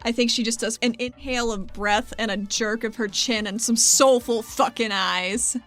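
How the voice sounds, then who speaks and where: A woman's voice: on the high side, fairly even intonation, normal speed, fairly bright tone, faint music behind. A woman playing a tabletop role-playing game, eager and playful, who swears casually. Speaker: player.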